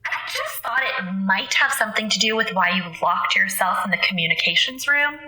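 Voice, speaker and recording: A woman voicing a polite, gentle female station AI who asks careful, soft-spoken questions. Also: mid-range voice, expressive, brisk, roomy sound, low-quality recording.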